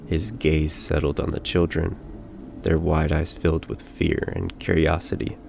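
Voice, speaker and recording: A man narrating a scripted bedtime story in a dramatic, deliberate manner. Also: very deep voice, little pitch movement, creaky, a little mellow, a little hiss or hum. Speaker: storyteller in a bedtime roleplay audio.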